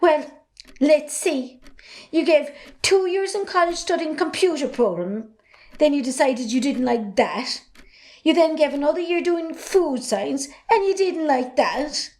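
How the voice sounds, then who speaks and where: Outspoken young Irish farm daughter, acted by a woman, high voice, assertive, amateur play. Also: animated, a little slow, darkish tone. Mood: teasing, chiding. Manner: assertive, chiding. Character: outspoken, independent young adult farmer's daughter. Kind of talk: amateur stage drama acting.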